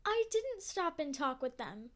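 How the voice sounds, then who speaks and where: A woman's voice: high voice, sing-song, unhurried, fairly bright tone, voice pushed up, full character voice. A woman voicing an earnest, innocent little schoolgirl in a storybook, speaking simply with childlike pleading. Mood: firm, earnest. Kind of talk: children's storybook read-aloud.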